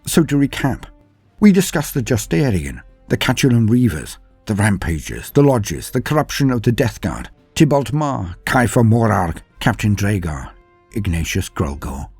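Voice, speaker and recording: Male narrator, low and raspy, grandiose dramatic storyteller for scripted fantasy lore. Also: very animated, moderate pace, a little mellow, no room sound, soft background music. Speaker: narrator and presenter of a lore channel.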